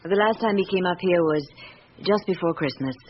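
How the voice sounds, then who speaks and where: A woman's voice: fairly low pitch, moderate pace, lightly acted. Woman playing a guarded British wife, muffled recording. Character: guarded, wistful middle-aged separated wife. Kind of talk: radio drama acting. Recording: dull, noisy old radio recording.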